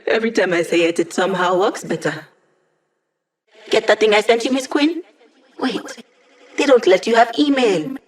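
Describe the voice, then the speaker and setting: A woman's voice: fairly high pitch, animated, normal speed, long pauses, lightly acted. A woman voicing an upbeat, quirky young hacker who lives as a digital being in a video game, chatty, playful and wry. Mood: playful, teasing. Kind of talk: video game character dialogue.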